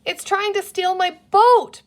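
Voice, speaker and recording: A woman's voice: very high voice, steady tone, unhurried, voice pushed up. A woman voicing an impulsive small boy in a children's storybook, blurting things out in simple, childish words. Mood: outraged. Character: small impulsive boy, outraged over toys, amiable.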